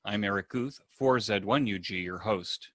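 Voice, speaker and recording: A man giving a prepared welcome address as an event host, with formal, deliberate phrasing. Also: on the low side, fairly bright tone, no room sound, clean studio sound.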